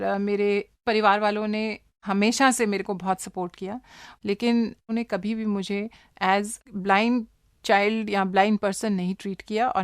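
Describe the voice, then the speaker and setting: A woman's voice: mid-range voice, dry recording, studio quality. An older woman giving conversational interview answers, mixing in English words as she talks. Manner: code-mixes English words into speech. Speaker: interview guest, motivational speaker.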